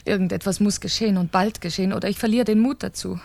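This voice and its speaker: An actress playing a cold, impatient wife who plots murder, insistent and clipped, in a 1970s crime radio play. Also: female voice, medium pitch, steady tone, fast talker, creaky, darkish tone, no echo. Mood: strained, desperate.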